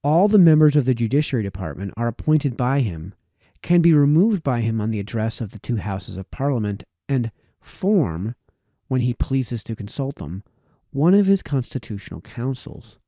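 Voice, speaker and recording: A man reads a formal, old-fashioned text aloud in a very warm, dark, relaxed voice. His pitch moves expressively as he shapes long sentences with deliberate emphasis. The sound is close and dry. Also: dry recording. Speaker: audiobook reader.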